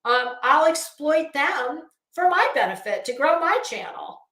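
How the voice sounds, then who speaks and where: Woman, livestream opinion host, high-ish pitch, unhurried pace, emphatic scornful delivery, unscripted. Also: big pitch swings, a bit bright. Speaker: YouTube channel host. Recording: roomy, echoing sound.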